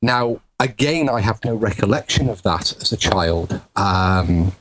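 A middle-aged man speaks as an interview guest, measured and hesitant, pausing on fillers. His voice sits low, with a faint hiss of background noise. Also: lively intonation, fairly bright tone, poor audio. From England (Wirral). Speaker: guest, paranormal researcher. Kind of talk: conversational interview.

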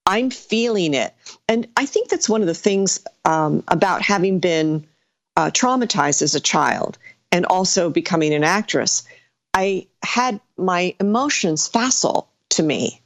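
Low-voiced woman telling her story. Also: animated, unhurried, gravelly, no echo. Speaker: psychic medium, guest. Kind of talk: conversational storytelling interview.

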